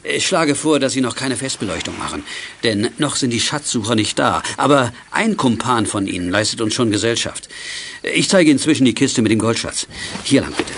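An actor playing a calm, friendly guardian of children in a radio play. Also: male voice, mid-range voice, normal speed, noisy background, lightly acted. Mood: composed, courteous.

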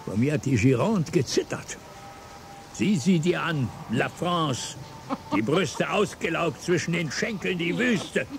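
An actor playing a bitter, drunken former revolutionary in a radio play, declaiming between low mutters and outbursts. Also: male voice, lively intonation, unhurried, creaky, noisy background. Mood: bitter raving. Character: bitter, drunken old male revolutionary, brooding and wild. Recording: radio drama with music underneath.

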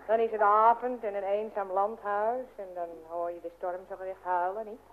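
An actress playing a chatty, earnest young housemaid in a radio comedy. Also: female voice, mid-range voice, fairly even intonation, moderate pace, lightly acted. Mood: earnest, relishing. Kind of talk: old radio comedy-drama acting. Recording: tinny, noisy old radio recording.

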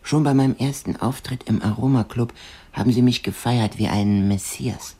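An actor playing a dreamy, obsessive young eccentric musician in a radio drama, half-whispering in a breathy, intimate voice. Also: male voice, mid-range voice, normal speed, mellow. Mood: proud, hushed.

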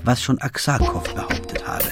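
An actor playing a detective's thoughtful, loyal friend, narrating a radio play in with measured, formal storytelling. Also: male voice, over music, natural voice.

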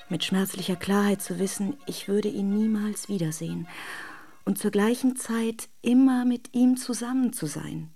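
An actress playing a wistful, lovelorn wife in a radio drama, confiding intimately in a breathy voice that often nears a whisper. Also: female voice, medium pitch, mellow, lightly acted. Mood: tender, longing. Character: wistful, devoted wife secretly longing for absent lover. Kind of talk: literary radio drama monologue.

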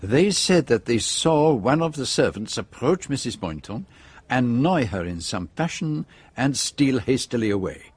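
A detective from a radio drama, revealing a case point by point. His voice is middling in pitch with a little husk to it. He is deliberate and theatrical, fond of the well-placed pause. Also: very animated, breathy edge. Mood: measured, explanatory. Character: methodical, theatrical male detective revealing a murder.

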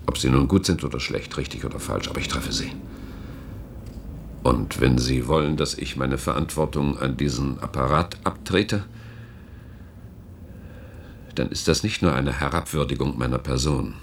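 A forceful, world-weary security chief in a radio play. His voice is very deep and creaky, and he argues deliberately, with authority. Faint noise sits behind him. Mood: grave, confessional. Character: commanding, world-weary male head of internal security. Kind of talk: German radio drama acting.

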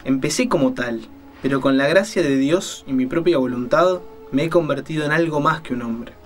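A man playing a delusional kidnapper in an audio drama, speaking formally and deliberately with mocking politeness. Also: a little high, faint music behind, close to an everyday voice. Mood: controlled menace. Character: menacing male kidnapper, a delusional killer, coldly courteous.

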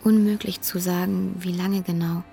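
A woman acting in a German radio drama as a weary, guilt-ridden carer, speaking with restraint and often hushed. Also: lowish voice, little pitch movement, moderate pace, vocal fry, soft background music. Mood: grave, hushed. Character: weary, guilt-ridden woman caring for her dying aunt. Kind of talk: German radio drama acting, dialogue and intimate inner monologue.

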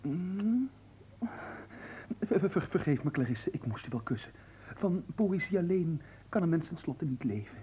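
An actor in a Dutch radio play voicing a cheeky, flirtatious manservant, theatrical and coaxing, often dropping into hushed pleading. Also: male voice, on the high side, very animated, measured pace, slight background noise. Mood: breathy, lovestruck. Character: cheeky, flirtatious manservant, smooth-talking and playfully servile.

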